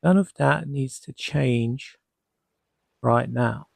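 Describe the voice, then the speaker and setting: A man guiding a sleep hypnosis session as a hypnotherapist, soothing and gently reassuring, leaving long pauses between short phrases. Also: medium pitch, vocal fry, breathy edge, dry recording, studio quality. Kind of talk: guided sleep hypnosis narration.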